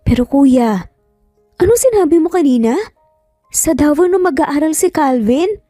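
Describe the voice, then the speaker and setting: A woman's voice: high voice, lively intonation, measured pace, warm tone, dry recording, clean studio sound, faint music behind, voice pushed up. A woman voicing a lovesick, pleading teenage younger sister in an emotional audio story. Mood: pleading, upset.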